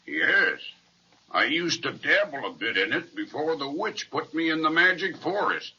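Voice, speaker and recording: A kindly giant with a forceful, raspy voice that swings up and down. He talks in a folksy, polite way, as in old children's radio drama on a muffled recording. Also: fairly high pitch, sing-song, moderate pace, full character voice. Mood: kindly, eager. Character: gentle, friendly, polite male giant stuck in a magic forest. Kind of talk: old-time children's radio serial acting.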